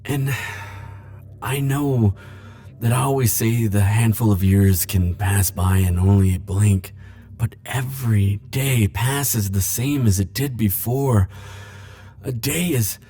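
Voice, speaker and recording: A man playing a defensive, lovelorn immortal in an ASMR roleplay, speaking hesitantly with stammers and halting phrases. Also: on the low side, mellow. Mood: confessional, yearning. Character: flirtatious, defensive male immortal pining for Grim Reaper. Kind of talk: ASMR roleplay monologue to the listener.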